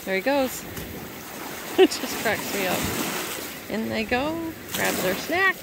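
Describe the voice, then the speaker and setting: A woman's voice: on the high side, big pitch swings, slow, gravelly. A woman in her fifties hosting a casual travel vlog, gushing and cheerful, filmed outdoors on a sailboat on an action camera. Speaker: vlog host. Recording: loud wind noise outdoors. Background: wind and water.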